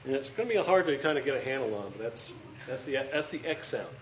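A man's voice: mid-range voice, lively intonation, quick pace. Guy teaching a class at the whiteboard, jokey and chatty, keeps saying okay, kinda dull lo-fi recording w/ faint hiss. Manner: joking, chatty, checks in with "okay?".

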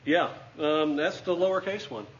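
A man's voice: on the high side, moderate pace, muffled recording, slight background noise. Chatty man teaching a class. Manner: joking, chatty, checks in with "okay?". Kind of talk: classroom lesson at a whiteboard.

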